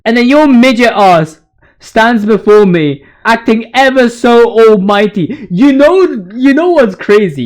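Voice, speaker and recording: High-voiced man, swooping intonation, slangy gaming commentary, hyperbolic rants, casual swearing. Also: darkish tone. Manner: ranting, hyperbolic, mock-outraged joking; swears freely. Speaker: video game commentator ranking boss voice lines.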